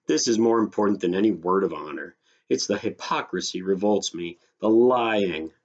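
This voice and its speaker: A man's voice: low voice, animated, moderate pace, darkish tone, breathy edge, lo-fi sound, heavily acted. A man voicing an indignant, sarcastic grown man nursing a grievance, in a scornful, snorting storybook reading. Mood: scornful, exasperated.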